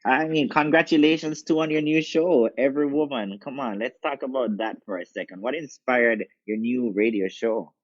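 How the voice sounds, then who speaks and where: A man's voice: mid-range voice, normal speed, hardly any pauses, no echo, muffled recording. A man hosting a podcast interview, encouraging his guest with short affirmations. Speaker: podcast host.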